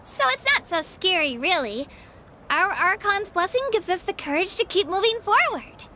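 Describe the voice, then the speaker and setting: A woman voicing a shy, good-natured young girl warrior in a game, soft and modest. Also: very high-pitched, very animated, normal speed, background noise. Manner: soft, modest, a bit bashful. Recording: game audio under music.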